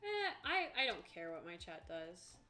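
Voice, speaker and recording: A woman's voice: fairly low pitch, huge pitch swings, normal speed, bright, forceful tone, faint music behind. A tired woman streamer chatting casually with viewers, joking bluntly and dryly.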